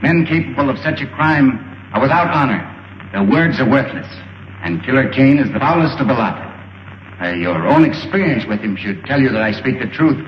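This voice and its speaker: An actor playing a bold, earnest young space pilot hero in a 1930s film serial, speaking in a clipped, declamatory way. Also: male voice, mid-range voice, animated, moderate pace, a little hiss or hum, lightly acted. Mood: urgent, earnest. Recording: muffled old film soundtrack, echoey, music behind.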